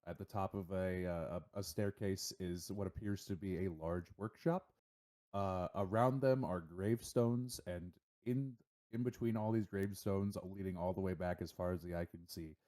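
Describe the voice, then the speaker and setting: A man with a very low-pitched, dark and relaxed voice speaks as a game master. He lays out the scene for tabletop players in measured, descriptive phrases.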